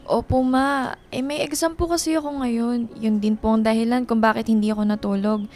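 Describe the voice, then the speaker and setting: Casting: actress as young Filipina student daughter, fairly high voice, polite yet stubborn, radio drama over music. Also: female voice, fairly even intonation, moderate pace, darkish tone, natural voice. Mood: polite, weary. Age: young adult. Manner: polite, reassuring, insistent. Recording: music behind the voice.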